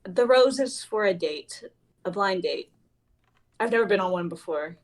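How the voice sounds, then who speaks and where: A woman's voice: mid-range voice, expressive, moderate pace, close to an everyday voice. A woman playing a playful, teasing young woman in a casual dramatic reading. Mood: shy, amused. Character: playful, teasing young woman named Bellavia sharing a ride.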